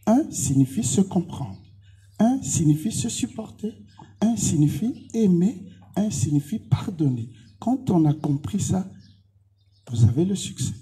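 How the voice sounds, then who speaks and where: Muffled, husky Congolese man preaching. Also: high voice, big pitch swings, a little slow, dark tone. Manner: emphatic, repetitive short declarations. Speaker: pastor being interviewed. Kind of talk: interview answers with preaching.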